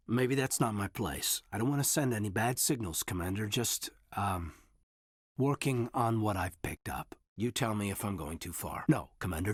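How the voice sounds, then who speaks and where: A reserved, dutiful military man in a video game. His voice is husky and sits at a middling pitch, moving up and down expressively as he talks in a sincere, measured way. The recording is close and dry. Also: no room sound. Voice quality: slightly husky. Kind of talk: naturalistic video game character dialogue.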